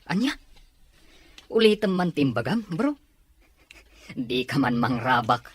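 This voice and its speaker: Husky, animated skeptical buddy played by a man. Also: mid-range voice, slow pace, no room sound, exaggerated voice. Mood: incredulous. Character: skeptical young male buddy, bantering and incredulous. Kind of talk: Ilocano audio drama acting.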